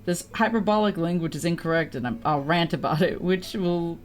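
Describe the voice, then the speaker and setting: A woman's voice: on the low side, brisk, soft background music. A woman chatting casually on a gaming livestream, with playful, rambling commentary.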